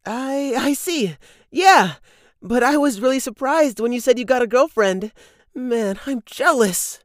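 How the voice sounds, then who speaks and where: A woman playing an excitable, gossipy teenage schoolboy in a manga dub. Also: high-pitched, measured pace, no echo, clean studio sound. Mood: astonished.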